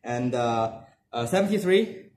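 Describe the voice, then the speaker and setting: A man's voice: medium pitch, animated, a little slow, darkish tone. A young man working as an amateur radio operator, exchanging call signs in phonetic spelling. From Singapore. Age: young adult. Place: small dark room indoors. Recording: roomy echo, background noise, clicking.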